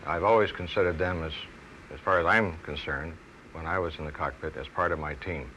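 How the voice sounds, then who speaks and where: Very deep, forceful man, halting and formal. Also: low-quality recording. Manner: halting, formal, careful wording. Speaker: former airline pilot. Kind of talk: measured interview. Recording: faint noise, 1970s archive TV film.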